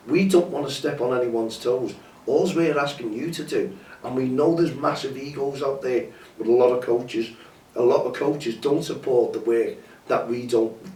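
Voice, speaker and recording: A man's voice: medium pitch, a little mellow, recorded from a distance, slight background noise. A man hosting a campaign update, bunged up with a cold and sore throat, making an earnest, pleading appeal that often repeats itself. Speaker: campaign host. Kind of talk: impassioned appeal to camera. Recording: echoey, mic some way off, faint ambience.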